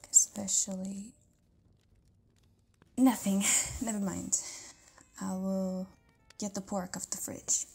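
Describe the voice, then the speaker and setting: A woman acts out a tender, protective partner in an intimate audio roleplay. Her voice is husky and breathy, with plenty of creak. She speaks softly and conversationally, hesitating and sometimes slipping toward a whisper. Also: medium pitch, exaggerated voice. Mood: concerned, reassuring. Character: caring, tender live-in partner of an amnesiac lover.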